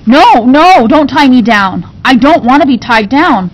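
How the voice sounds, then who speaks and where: A woman's voice: expressive, a little slow. A woman reading a storybook, voicing a frightened ten-year-old orphan girl who pleads. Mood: panicked pleading. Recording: dull recording with low rumbling noise.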